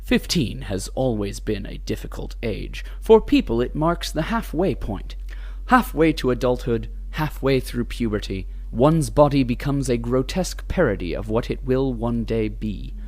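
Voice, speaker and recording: An actor narrating an audio drama as a wry, grandiose, mock-solemn storyteller with deliberate phrasing. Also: male voice, mid-range voice, animated, natural voice.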